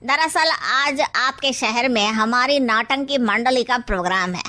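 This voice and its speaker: A woman voicing a genial middle-aged bandit father in a cartoon, hearty and deliberate. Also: on the high side, pushed and bright. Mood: jovial.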